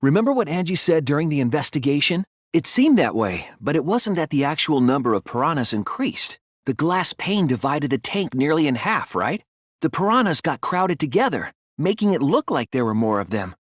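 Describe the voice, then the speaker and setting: An earnest young male detective from an anime video game. His voice is husky and swings widely in pitch as he reasons things through with deliberate care. The recording is dry and close, with no room sound. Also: big pitch swings, no room sound. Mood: firm, deducing. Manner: earnest, deliberate, deductive, sometimes hesitant. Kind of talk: dramatic anime game dialogue.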